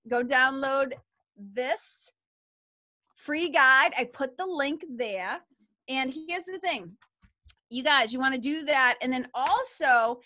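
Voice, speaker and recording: A middle-aged woman with a Boston accent, co-hosting a live webinar and chatting warmly with viewers in the chat. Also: high-pitched, no room sound. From Massachusetts. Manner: bubbly, chatty, enthusiastic. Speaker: webinar co-host.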